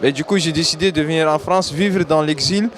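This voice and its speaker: A man's voice: a little high, brisk, crowd noise. A man recounting his move abroad in a reflective, hesitant interview, searching for words. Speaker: migrant interviewee.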